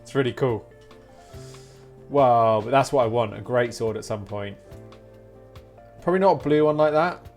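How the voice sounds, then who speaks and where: A man's voice: mid-range voice, normal speed, long pauses. Man chatting casually over faint game music. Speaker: game streamer. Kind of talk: casual live-stream chat while gaming.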